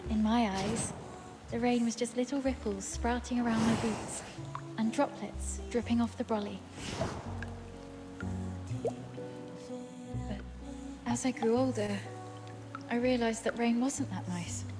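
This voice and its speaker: Gentle wistful young daughter in a game, highish voice, music behind her. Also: steady tone, slow, long pauses. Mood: wistful, sorrowful. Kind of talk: game voice acting, reflective monologue.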